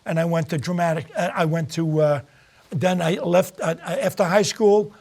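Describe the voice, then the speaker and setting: Gravelly old New man, high pitch. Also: fairly even intonation, moderate pace, darkish tone. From New York. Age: 90s. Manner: rambling, joking, trails off. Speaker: guest singer. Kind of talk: casual chat.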